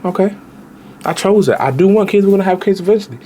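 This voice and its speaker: A man in his late twenties, a travel vlogger giving casual, animated budget travel advice straight to viewers, with slang. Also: high-pitched, quick pace, a little hiss or hum. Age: 20s.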